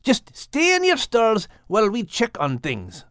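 A brave storybook animal leader, put on by a male narrator, reassures in a loud Scottish brogue. The voice is pitched up and recorded dry. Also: wildly swooping pitch, unhurried, dry recording, heavily acted. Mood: calm, commanding.

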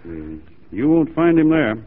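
A man's voice: a little high, big pitch swings. Wry easygoing frontiersman, tinny radio. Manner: dry, folksy, unhurried irony. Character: easygoing, wry, loyal civilian frontier adventurer. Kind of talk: 1940s radio acting. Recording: tinny, faintly noisy 1940s radio recording.

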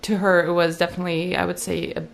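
A woman from Ukraine in her twenties telling a personal story, halting and searching for words. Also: fairly low pitch, flat delivery, creaky. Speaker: guest sharing a story.

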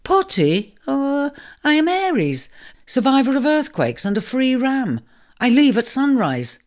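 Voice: sits high, big pitch swings, measured pace, voice pushed up. A reader doing a boastful wandering ram. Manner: grand, self-important declaring. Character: proud boastful male ram, restless wanderer. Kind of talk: storybook character voice.

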